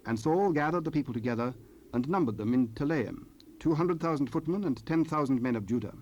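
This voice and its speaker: A man narrating scripture from a script in a solemn, measured manner. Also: on the high side, animated, a little mellow, muffled recording. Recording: noisy cassette rip, ambient sound.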